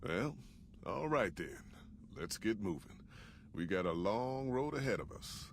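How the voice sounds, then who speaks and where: A folksy old wasteland guide. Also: male voice, fairly low pitch, big pitch swings, measured pace, a little hiss or hum. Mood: wry. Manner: folksy, plain-spoken drawl. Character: older male wasteland trail guide, folksy and dry. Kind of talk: video game character dialogue.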